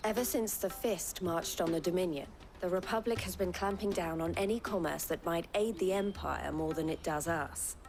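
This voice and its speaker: An actress playing a shrewd, haughty merchant in a video game, with a wry, businesslike manner. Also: female voice, fairly low pitch, normal speed, hardly any pauses, darkish tone, slight background noise, close to an everyday voice. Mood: measured, knowing. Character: brisk, shrewd, haughty female caravan owner.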